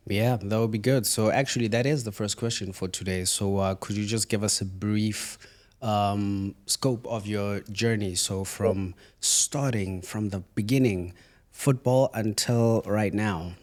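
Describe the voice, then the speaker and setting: Upbeat podcast host. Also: male voice, on the low side, fairly even intonation, measured pace, darkish tone. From South Africa. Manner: upbeat, announcer-like intros, friendly back-channel. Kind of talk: hosting a podcast interview.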